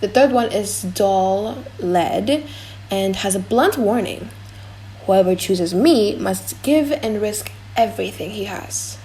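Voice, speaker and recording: A woman's voice: mid-range voice, lively intonation, slow pace, warm tone, slight background noise, poor audio. A woman playing a poised noble lady, speaking formally in a dramatised audiobook.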